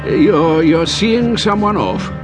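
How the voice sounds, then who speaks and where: Loud music sits behind an elderly British man in a radio drama. He is fussy and hesitant, stammering at an unhurried pace with a lively, highish pitch. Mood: flustered, insistent. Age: older. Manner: hesitant, stammering, repeating himself. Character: fussy, flustered elderly British gentleman passenger.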